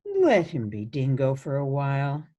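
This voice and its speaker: A sweet elderly grandmother played by a woman in a radio play. She speaks slowly with a very lively, melodic range. Her manner is gushing, soothing and indulgent toward her family. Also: extremely low pitch, breathy edge, lo-fi sound, exaggerated voice. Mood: cheerful, soothing.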